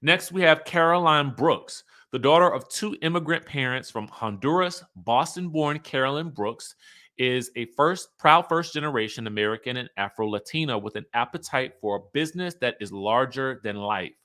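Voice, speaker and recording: A man's voice: medium pitch, lively intonation, normal speed, sharp tone. A man hosting a reality TV commentary show, speaking in a conversational, emphatic, opinionated way, sometimes reading out cast bios.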